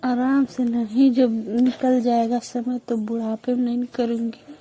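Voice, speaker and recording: High, very mellow young woman. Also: flat delivery, unhurried, breathy edge, low-quality recording. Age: young adult.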